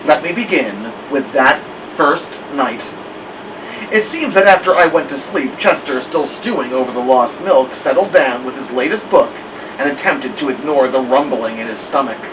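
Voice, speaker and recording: A man reading a children's chapter book aloud in an expressive storytelling style. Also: mid-range voice, moderate pace. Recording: dull, distant mic, steady air-conditioning-like noise.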